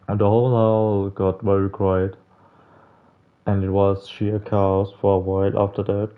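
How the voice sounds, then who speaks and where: A man casually reading a visual novel's text aloud as a playthrough host, halting at times and adding offhand asides. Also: on the low side, phone-quality sound. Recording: game music behind the voice. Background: game music.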